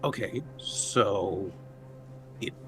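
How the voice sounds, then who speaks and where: A man's voice: fairly low pitch, very slow, a bit bright, low-quality recording. A man playing a tabletop game, talking casually and tentatively as he thinks aloud. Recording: music and keyboard typing behind voice. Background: music, typing.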